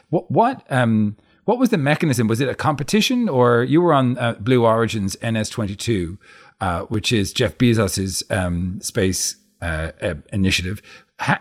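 Low-voiced radio host. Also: male voice. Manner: conversational, thoughtful questioning. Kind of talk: radio interview.

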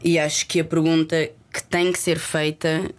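A woman from Lisbon with a Lisbon Portuguese accent, speaking reflectively and haltingly as a guest in a candid interview, sometimes dropping in English words. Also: sits low, little pitch movement.

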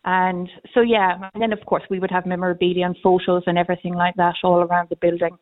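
A woman who owns a pub, talking on a radio phone-in in a friendly, measured way. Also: lowish voice, little pitch movement. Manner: friendly, measured, frequent "yes". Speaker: pub owner, caller. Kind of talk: phone interview. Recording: tinny phone line.

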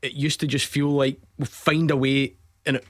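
A man's voice: mid-range voice, little pitch movement, moderate pace, no echo. A Scottish man hosting a radio football phone-in, chatty with dry teasing banter, on a close studio mic. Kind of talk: sports phone-in chat.

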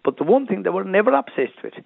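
A man's voice: high-pitched, big pitch swings, fast talker, gravelly, no room sound. A man with an Irish accent arguing emphatically and repetitively in a radio debate, heard over a tinny phone-like line. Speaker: radio guest.

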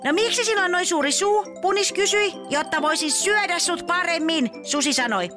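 A woman's voice: very high-pitched, music in the background. A woman voicing a cheeky, bratty little kid who mocks and interrupts a fairy tale in slangy speech for children's comedy. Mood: smug, cheeky.

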